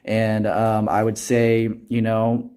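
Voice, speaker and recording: A young man in his late teens with a fairly low voice speaks as an interview guest. He is earnest and reflective, explaining things conversationally and softening them with little hedges like "kind of" and "you know". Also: completely flat delivery, slow pace, smooth. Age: teens, high school senior. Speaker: student actor interviewed as a guest.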